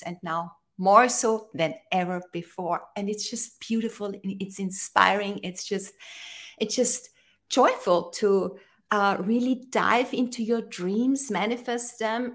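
A woman from Austria, a brand strategist and interview guest, speaking earnestly and inspirationally with slightly halting phrasing. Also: medium pitch, a bit bright. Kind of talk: conversational interview.

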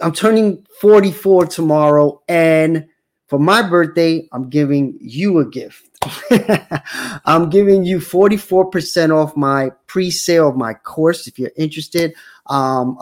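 A man in his forties hosting his own show, talking casually and rambling with many fillers and restarts. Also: fairly high pitch. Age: 40s. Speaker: show host. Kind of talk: casual livestream talk.

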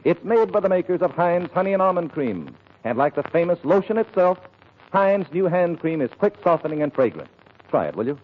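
A man's voice: fairly high pitch, very animated, brisk. A man announcing in scripted 1940s radio advertising style, with polished, emphatic salesmanship. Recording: dull, hissy vintage radio broadcast.

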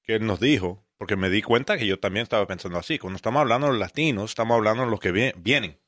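Fast-talking male co-host. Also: lowish voice, fairly bright tone, no echo, studio quality. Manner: chatty, mixes in English phrases.

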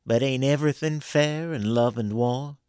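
A man's voice: mid-range voice, moderate pace, a little mellow, clear, resonant tone, dry recording, clean studio sound, pitched above the performer's own voice, lightly acted. A man voicing a scheming backwoods conjurer with a wheedling rustic drawl, read as a story. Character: scheming backwoods male conjurer, sly and coaxing. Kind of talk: audiobook character voice.

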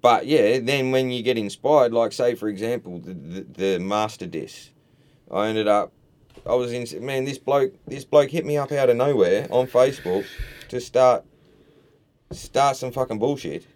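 A man guesting on a podcast, swearing freely and acting out both sides of a quarrel in a casual, animated chat. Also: mid-range voice, normal speed. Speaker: podcast guest, battle rapper. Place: podcast studio.

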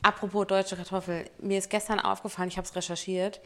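A woman's voice: mid-range voice, level tone, fairly quick, fairly bright tone. A woman co-hosting a podcast, chatting casually and wryly about a reality show. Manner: gossipy, wry, chatty. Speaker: podcast co-host.

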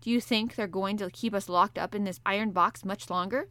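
A woman voicing a blustering, hungry male harpooner who talks loud and gruff in an audiobook. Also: mid-range voice, fairly even intonation, fairly quick, lightly acted. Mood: impatient. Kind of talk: audiobook character voice.

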